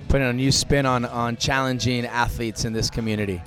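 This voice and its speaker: A man talking casually as a podcast guest, relaxed and joking, with many fillers. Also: mid-range voice, a little fast. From United States, Cuban family. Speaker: co-founder of a fitness festival, podcast guest.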